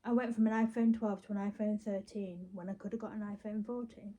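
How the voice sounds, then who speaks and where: A woman's voice: mid-range voice, fairly even intonation, brisk, warm tone, muffled recording. A British woman vlogging casually on her phone, rambling playfully and half talking to herself as she goes. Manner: chatty, playful, talks and mutters to self. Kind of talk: casual rambling chat to camera while wrapping gifts.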